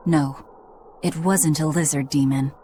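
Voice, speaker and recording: A woman's voice: sits low, fairly even intonation, a little slow, mellow, slight background noise. An actress playing a guarded, blunt young demon woman in a game, speaking curtly. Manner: curt, blunt.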